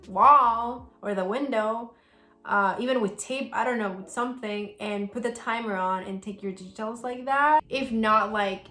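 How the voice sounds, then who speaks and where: A woman's voice: mid-range voice, a bit bright. A young woman from El Salvador, chatting casually as she answers viewer questions, full of "like" fillers. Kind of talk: casual Q&A vlog. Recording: roomy sound with faint music.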